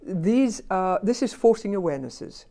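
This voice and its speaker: A woman's voice: mid-range voice, expressive, moderate pace, dark tone. A woman and language teacher in a conversational discussion, emphatic and asking herself rhetorical questions.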